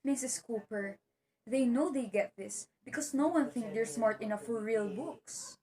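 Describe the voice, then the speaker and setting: A woman's voice: fairly high pitch, measured pace, dark tone, natural voice. A woman acting an earnest, determined young teacher in an amateur stage play. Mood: pleading.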